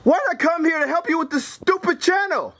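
A man voicing an angry, hot-tempered creature in a comedy skit, ranting and shouting complaints. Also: medium pitch, very animated, slow, slightly rough, voice pushed down, full character voice. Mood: fed up.

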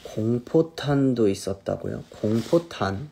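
A man in his thirties chatting casually on a phone live stream, answering viewers' questions in a relaxed, easygoing way. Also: medium pitch, takes it slowly, creaky, background noise. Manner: relaxed, answers viewers' questions, drifts between snacks and hobbies. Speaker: singer hosting a live stream. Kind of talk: casual live-stream chat reading comments.

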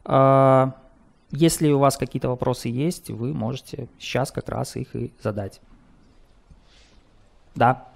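A man's voice: mid-range voice, fairly even intonation, unhurried, creaky, pauses often. Hesitant man presenting a tech talk. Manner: hesitant, many filler sounds. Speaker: presenter at a developer meetup. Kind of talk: technical talk with audience Q&A. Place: dim meeting room with a projector.

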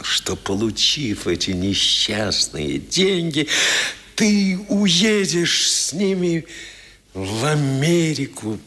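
A man's voice: mid-range voice, pushed and bright, heavily acted. An actor playing a stern, proud old grandfather with theatrical, emphatic phrasing in a 1970s radio drama. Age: older.

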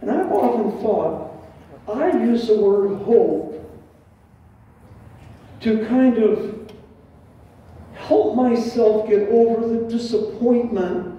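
A man's voice: sits high, slow, long pauses. An older man preaching a sermon in a conversational way, asking his congregation questions and adding asides. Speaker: pastor. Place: large dim church hall with stage. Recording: roomy and dull from far off.

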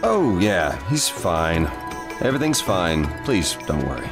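Polite, low-voiced ninja captain. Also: male voice, very animated, loud music behind the voice, exaggerated voice. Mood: courteous. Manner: courteous, measured. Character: polite, dutiful adult male ninja captain. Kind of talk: anime game dub acting.

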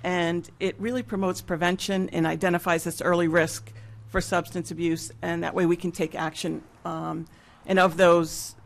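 A woman giving an informal spoken report at a public school meeting, hesitant and pausing mid-sentence. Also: lowish voice. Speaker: school nursing leader presenting a report. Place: mid-sized meeting room with seated public. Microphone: gooseneck table microphone.

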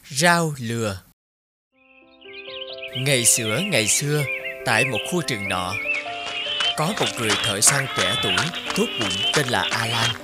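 A man's voice: medium pitch, expressive, measured pace, pauses often, over loud music. A man narrating a scripted fairy tale.

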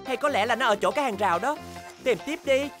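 Woman acting a young polar bear, kids' cartoon, patient, helpful, reassuring, slightly high pitch, background music. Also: fast, close to an everyday voice. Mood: pondering. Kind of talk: children's cartoon dub.

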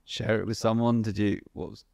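A man's voice: fairly low pitch, flat delivery, fairly quick, creaky, darkish tone, dry recording. A young man hosting a podcast, asking casual, playful questions and retelling his guest's story back to him. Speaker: podcast host. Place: bright living-room studio. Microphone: boom-mounted podcast mic.

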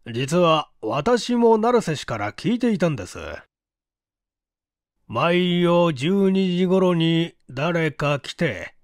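A man's voice: high-pitched, smooth, dry recording, clean studio sound. A man voicing a shrewd, self-assured police chief who questions politely but emphatically, in a story reading. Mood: probing. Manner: deliberate, emphatic, leading questioning. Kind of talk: acted dialogue in story reading.

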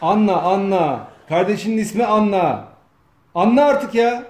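A man's voice: high-pitched, lively intonation, a little slow, darkish tone. A man, bossy and teasing as he coaches performers and feeds them lines. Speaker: off-camera director of a comedy sketch. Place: home dining room, indoors. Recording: echoey room, faint noise.

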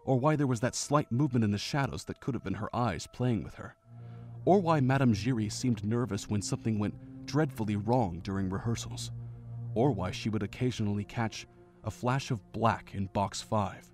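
A man narrating a fan audio drama in a steady storytelling way. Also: lowish voice, moderate pace, faint music behind.

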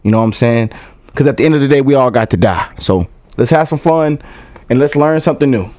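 A man's voice: mid-range voice, fast talker, darkish tone. A young American man hosting a reaction video on a headset mic, chatty and loose, often saying "you know what I'm saying". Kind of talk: casual reaction commentary.

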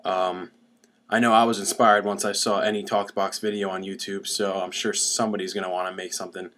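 A man's voice: sits low, flat delivery, fairly quick, fairly bright tone. A young adult man giving a casual how-to explanation, speaking into a webcam at home. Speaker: DIY music gear demonstrator. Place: small cluttered room at home. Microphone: webcam about arm's length away.

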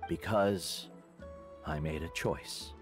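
A man voicing a calm, wise mentor of island guides with a measured, explanatory manner in a fantasy game. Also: very deep voice, over music. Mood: welcoming.

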